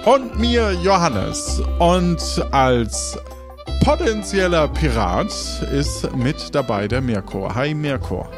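Male host-narrator for improvised adventure play, animated and theatrical, unhurried, with prominent music bed. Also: a little high. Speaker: host and game master. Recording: clear music behind the voice.

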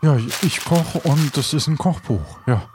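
Shy, eager pirate ship's cook played by a man, high-voiced. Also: moderate pace, darkish tone, slight background noise, lightly acted. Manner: hesitant, stammering, repeating words. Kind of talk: improvised audio drama.

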